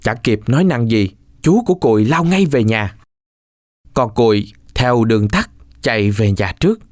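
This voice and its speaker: A man narrates a scripted folk tale for children. His mid-range voice moves expressively up and down while the telling stays measured. The sound is close and dry, with little room to it. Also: mid-range voice, animated, darkish tone, no room sound. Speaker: storyteller.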